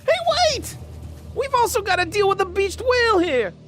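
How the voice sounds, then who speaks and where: Woman acting a cheeky rat robot guy, high voice, swooping delivery, slangy teasing, cartoon radio drama. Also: lively intonation, moderate pace, soft background music. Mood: concerned. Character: wisecracking, cheeky male rat robot warrior.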